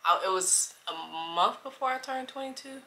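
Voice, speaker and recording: Bright-voiced rambling woman in her twenties. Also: fairly high pitch, lively intonation, normal speed. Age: 20s. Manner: rambling, loses track of the timeline and self-corrects. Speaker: vlogger. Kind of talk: casual chat.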